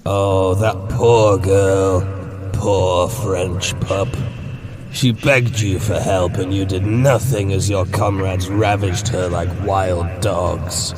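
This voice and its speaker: A low-pitched, growling undead crone, acted out by a male audiobook reader, cruel and sinister. The words are drawn out slowly and mockingly, over some background noise in a horror story. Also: steady tone. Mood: cruel, accusing. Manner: slow, drawn-out, taunting.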